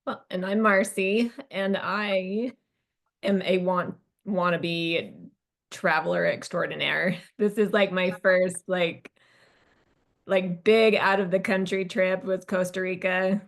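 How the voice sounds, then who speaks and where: Guest speaker, woman, lowish gravelly voice, chatty, filler-heavy. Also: steady tone, slow pace. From Utah. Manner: chatty, says "like" often. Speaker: alumni guest. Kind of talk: casual chat.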